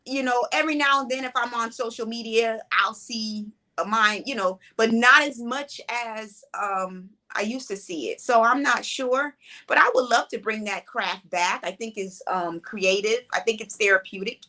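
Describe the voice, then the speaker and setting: Bright-voiced woman in her forties. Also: a little high, normal speed. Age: 40s. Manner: relaxed, reflective, conversational with fillers. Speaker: playwright and director guest. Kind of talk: casual interview answers.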